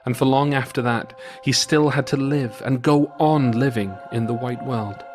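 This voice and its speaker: A man reading a scripted folk tale as a storyteller, measured and old-fashioned. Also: medium pitch. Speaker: storyteller, podcast host. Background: music.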